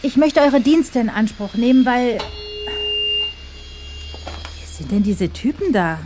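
An actress playing a brisk, self-assured young female client reporting a puzzling break-in in a German audio drama. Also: on the high side, lively intonation, background noise. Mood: businesslike, curt.

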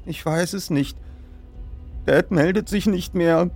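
Man voicing an anxious young boy, thin high voice. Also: gravelly, darkish tone, soft background music, full character voice. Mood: subdued. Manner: halting, upset. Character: anxious, sad young boy, shaken and hesitant.

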